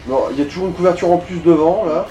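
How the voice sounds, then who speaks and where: A man's voice: a little high, quick pace, warm tone, a little hiss or hum, poor audio. A man giving casual let's play commentary as he guides the player, in slangy, crude language full of swearing. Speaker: gaming co-commentator guiding the player.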